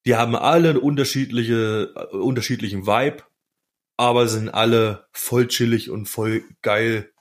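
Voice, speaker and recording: A man's voice: mid-range voice, fairly even intonation, moderate pace. A man co-hosting a podcast, chatting casually about music in an enthusiastic, slangy, emphatic way. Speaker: podcast co-host.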